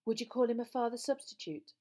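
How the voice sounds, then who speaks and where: A woman's voice: on the high side, level tone, fast, warm tone, pitched above the performer's own voice, close to an everyday voice. A woman voicing a smug, cold-hearted female tabloid reporter in a gushing, condescending way for a story reading. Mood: probing, oily.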